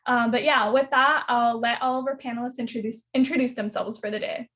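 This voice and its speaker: A woman's voice: on the high side, fairly even intonation, brisk, fairly bright tone. A young woman moderating a virtual student panel over a video call, upbeat and casual with many fillers.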